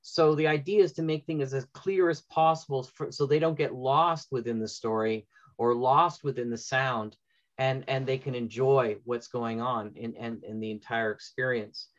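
A man's voice: mid-range voice, steady tone, moderate pace. A middle-aged man moderating an online panel, speaking conversationally over a video call. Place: indoor room, video call. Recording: dull, lo-fi video-call audio.

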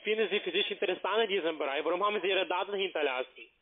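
A man from Slovakia with a foreign accent, not a native speaker, giving a halting, formally polite telephone sales pitch in broken grammar. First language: not German. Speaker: investment phone salesman. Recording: narrow-band, tinny phone line.

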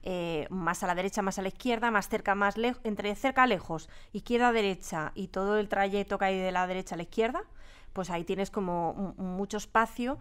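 Clear-voiced woman from a Spanish radio team. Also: medium pitch, a little fast, a bit bright. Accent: Spain. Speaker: radio fiction sound designer. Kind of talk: conversational interview answers. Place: radio studio.

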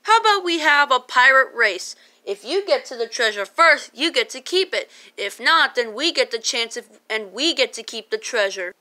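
A woman's voice: high voice, lively intonation, normal speed, few pauses, pushed and bright. A woman voicing a bossy, taunting, scheming old pirate king in a homemade puppet play. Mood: challenging.

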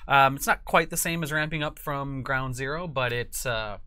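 A man's voice: mid-range voice, moderate pace, sharp tone, slight background noise. A middle-aged man chatting casually as an interview guest, explaining things conversationally. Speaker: guest, company publisher and creative chief. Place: small curtained studio set, indoors.

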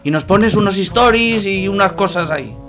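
A man with a Spanish accent speaks in a distinctly high voice. He coaxes and insinuates as a prank caller, with faint music behind him. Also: normal speed, fairly bright tone. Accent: Spain. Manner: coaxing, insinuating. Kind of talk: phone prank performed on a livestream.

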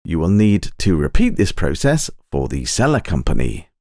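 Deep-voiced man narrating a software tutorial. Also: huge pitch swings, no room sound, clean studio sound. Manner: measured, precise step-by-step instruction. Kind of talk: scripted instructional narration. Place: close, dry voice-over recording.

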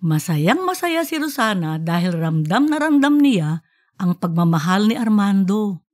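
Woman, audiobook narrator, lively pitch swings, dry close sound, expressive scripted storytelling. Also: no room sound.

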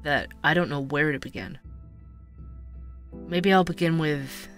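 A man's voice: on the high side, fairly even intonation, measured pace, fairly bright tone, soft background music. An actor playing a curious, earnest young man narrating his audio drama podcast. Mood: brooding, wondering. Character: curious, earnest young male podcaster and coffee shop worker.

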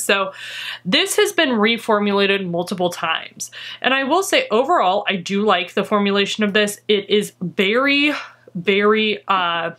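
A woman's voice: mid-range voice, a bit bright. Chatty young woman reviewing makeup. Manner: chatty, filler-heavy, enthusiastic about products. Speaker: beauty vlogger. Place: small indoor room with holiday decor.

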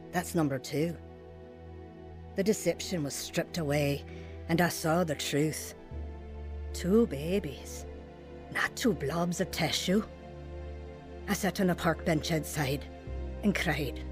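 A woman's voice: deep voice, darkish tone. Troubled young mother, music behind. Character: troubled young mother telling her painful life story. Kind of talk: dramatised first-person narration, radio drama.

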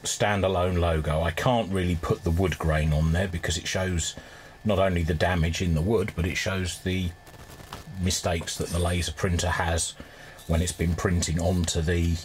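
Low-voiced man, casual demonstration. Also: level tone, normal speed. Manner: informal, thinking aloud while working. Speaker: restorer presenting a hobby repair video. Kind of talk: casual hands-on demonstration commentary. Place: indoor cluttered electronics workbench. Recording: clear background noise, workshop ambience.

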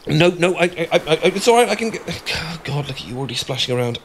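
A man's voice: a little high, animated, fast talker, background noise. A man with a British accent acting an awkward, easily flustered, bashful friend in a romantic ASMR audio roleplay, stammering and rambling as he goes. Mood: panicky, protesting.

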